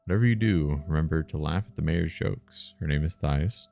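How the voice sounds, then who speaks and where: Man as motherly woman innkeeper, shrewd, guarded, folksy, deep creaky voice, story-game reading. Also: a little fast, mellow.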